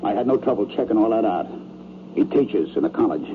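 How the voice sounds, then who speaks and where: An actor playing a blunt, uneasy rich man in an old-time radio drama, with halting, defensive, streetwise speech. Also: on the low side, expressive, natural voice. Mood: earnest confiding. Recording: tinny, noisy old radio broadcast.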